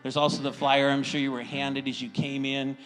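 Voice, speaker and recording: A man's voice: mid-range voice, level tone, brisk, a bit bright, soft background music, poor audio. A middle-aged American man speaking as a pastor, encouraging his congregation in an informal, folksy way and often checking in with them. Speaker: church pastor welcoming the congregation. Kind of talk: informal sermon and church announcements.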